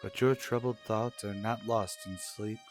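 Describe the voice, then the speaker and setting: A man narrating an old-time radio serial, measured and dramatic, addressing the character directly. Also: fairly low pitch, steady tone, faint music behind. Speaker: narrator and announcer.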